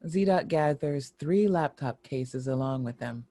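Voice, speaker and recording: A man's voice: medium pitch, lively intonation, unhurried, warm tone. A man giving scripted narration, reading a screenplay's stage directions.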